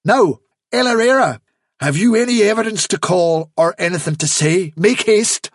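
A man voicing an imperious, impatient storybook prince presiding over a trial, brisk and commanding. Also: sits high, expressive, no echo, clean studio sound, voice pushed up. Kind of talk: storybook character reading.

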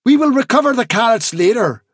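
A man voicing an imperious, impatient storybook prince presiding over a trial, brisk and commanding. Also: extremely high pitch, sing-song, husky, dry recording, studio quality, voice pushed up, full character voice. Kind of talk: storybook character reading.

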